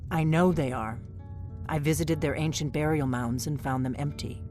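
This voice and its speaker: A woman's voice: low voice, normal speed, a little mellow, soft background music, close to an everyday voice. Woman voicing a secretive innkeeper spy. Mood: urgent. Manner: cautious, urgent, conspiratorial.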